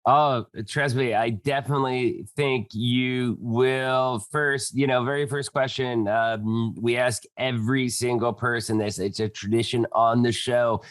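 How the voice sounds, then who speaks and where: A man's voice: medium pitch, steady tone, a little slow, hardly any pauses, no room sound. A man hosting a podcast interview, chatty and enthusiastic with a joking manner. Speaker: podcast host. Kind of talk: casual interview.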